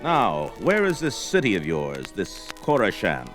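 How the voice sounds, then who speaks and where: A bold barbarian adventurer with a very low voice. His delivery is hearty and blunt, rising and falling widely in the manner of a fantasy record drama. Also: huge pitch swings, a little slow, a bit bright, soft background music, heavily acted. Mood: resolved. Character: bold young barbarian warrior, wary, gruff and mercenary. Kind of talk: 1970s fantasy audio drama acting.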